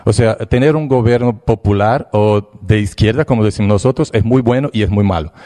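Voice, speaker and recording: A man from Brazil with a Brazilian Portuguese accent, whose first language is Portuguese, giving a slide presentation in a hesitant, halting way with frequent restarts. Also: lowish voice, dark tone. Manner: hesitant, pausing, restarts words. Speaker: conference presenter.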